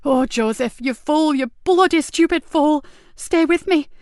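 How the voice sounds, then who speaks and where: A woman's voice: sits high, fairly even intonation, slow, darkish tone, dry recording, studio quality, pitched above the performer's own voice. A woman voicing a protective female police inspector, urgent and raised. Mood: desperate.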